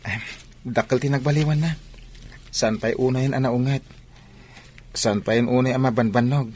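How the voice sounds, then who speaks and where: A man's voice: mid-range voice, steady tone, moderate pace, a little mellow, slight background noise, close to an everyday voice. A man with an Ilocano Filipino accent playing an earnest young student athlete in an audio drama. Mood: friendly, casual. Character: earnest young male student athlete devoted to his mother. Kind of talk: amateur audio drama acting.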